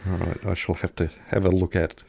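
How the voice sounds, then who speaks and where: A man with a deep voice that often drops into gravelly creak. He chats casually as a podcast co-host, wry and deadpan. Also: fairly quick, no room sound, studio quality. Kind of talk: casual chat.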